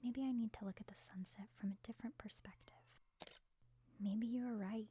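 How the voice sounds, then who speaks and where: A woman playing an aloof, melancholy young photographer in an anime-style ASMR roleplay, speaking in a soft, pensive way. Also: a little high, steady tone, normal speed, slightly rough. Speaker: voice actor in fan roleplay.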